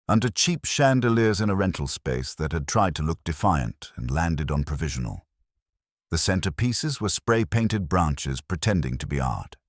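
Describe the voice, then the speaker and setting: Very deep male storyteller, dry and wry. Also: no echo. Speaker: audiobook narrator. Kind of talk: scripted first-person detective story narration.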